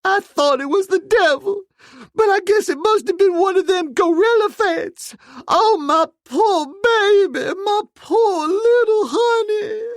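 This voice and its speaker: A man doing a shrill wailing older maid. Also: no room sound, clean studio sound, full character voice. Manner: high keening cries, drawn-out sobbing delivery. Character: shrill hysterical older woman servant, wailing.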